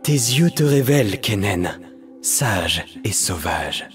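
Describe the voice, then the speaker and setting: An actor playing a melancholic painter mage torn between light and darkness, speaking solemn, measured, poetic lines for a video game. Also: male voice, on the low side, big pitch swings. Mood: solemn, resolute. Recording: music behind the voice.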